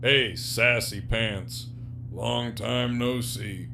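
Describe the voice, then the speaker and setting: An actor playing a gruff, cocky, worn-down prisoner in an audio drama, with a drawling delivery. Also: male voice, mid-range voice, drawn-out words, pushed and bright, heavily acted. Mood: weary sarcasm. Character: gruff imprisoned man, cocky and sardonic, worn down. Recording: clear background noise with hum.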